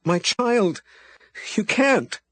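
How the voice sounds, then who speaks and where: A man reading a desperate young mother in an audiobook, her lines pitched up and pleading. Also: animated, moderate pace, husky, no room sound. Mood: anguished. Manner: raised pleading, breaking into wails.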